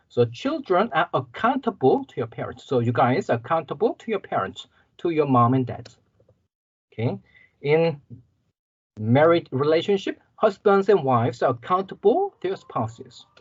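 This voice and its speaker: A Korean-accented male lecturer explains ideas patiently, repeating key words and checking in with "okay." His pitch swings widely and his voice is slightly husky. The audio is dry and muffled, as from a laptop on a video call. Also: no echo. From South Korea. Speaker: university lecturer. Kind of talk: guest lecture.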